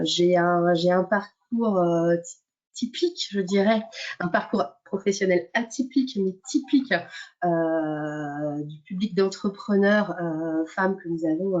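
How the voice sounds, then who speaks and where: Low-pitched Frenchwoman, halting, dull audio. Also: a little mellow. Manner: hesitant, halting phrasing. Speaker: association director introducing the session. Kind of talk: unscripted presentation.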